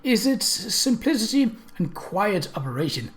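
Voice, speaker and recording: A narrator voicing a proud, brisk male inventor who declaims emphatically, in a storybook reading. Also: mid-range voice, very animated, pitched above the performer's own voice.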